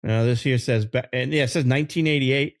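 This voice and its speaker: A man with an average-pitched voice hosts a relaxed conversation among friends. He talks informally, like a regular podcast host, and the sound is close and dry with little room. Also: brisk, dry recording. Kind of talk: casual chat among friends about games and movies.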